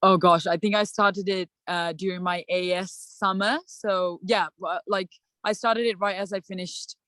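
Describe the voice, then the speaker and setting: A woman's voice: medium pitch, fairly even intonation, normal speed, fairly bright tone, no room sound. A young woman from Sri Lanka, a student panelist, giving casual advice in a chatty way over a video call, full of "like" and "um". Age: young adult. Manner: chatty, many fillers and "like".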